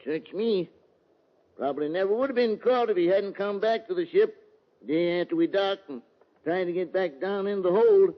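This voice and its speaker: A man's voice: sits high, normal speed, close to an everyday voice. A man playing an obliging, matter-of-fact ship's captain in an old radio drama, plain-spoken and helpful. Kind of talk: 1950s radio drama acting. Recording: muffled old radio recording, long reverb.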